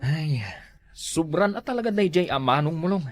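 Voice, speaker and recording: A woman plays an exclamatory Ilocano villager in a homespun comedy drama. She frets and complains with lively, swooping intonation, recorded close and dry. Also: sits low, normal speed, no room sound. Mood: scolding, upset.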